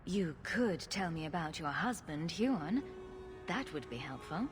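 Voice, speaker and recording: An actress playing a confident, sarcastic heroine in a video game, speaking with dry, sardonic wit. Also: female voice, lowish voice, sing-song, measured pace, long reverb tail, soft background music. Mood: dry, probing.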